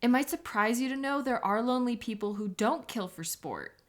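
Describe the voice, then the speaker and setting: A woman's voice: a little high, expressive, normal speed, natural voice. A woman playing an embittered, contemptuous police sketch artist with a sarcastic, scornful manner in a dramatic audio roleplay. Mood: angry, disgusted.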